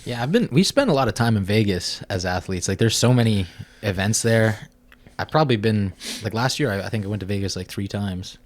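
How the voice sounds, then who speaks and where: Easygoing young man, lowish voice. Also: animated, a little fast. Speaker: podcast guest, grappling athlete. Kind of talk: casual podcast chat.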